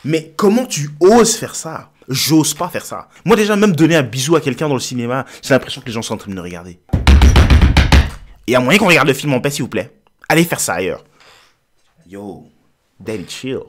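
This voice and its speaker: A young man reacts to a video as a streamer, joking loosely and letting his sentences sink into low mutters. His pitch sits right in the ordinary middle. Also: very animated, fairly quick, pauses often, noisy background. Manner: joking, trails off into low mumbles. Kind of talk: casual commentary.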